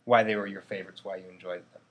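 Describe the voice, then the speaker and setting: A man's voice: fairly low pitch, steady tone, rapid-fire. A man interviewing a guest, asking polite questions with some hesitation.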